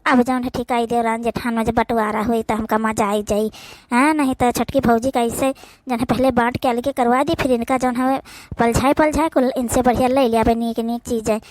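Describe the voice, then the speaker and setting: A woman's voice: on the high side, steady tone, a little fast, darkish tone, no room sound, lightly acted. A woman with an Awadhi accent voicing a meddling, scheming young sister-in-law in a village cartoon, gossipy and insistent. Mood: sly, scheming.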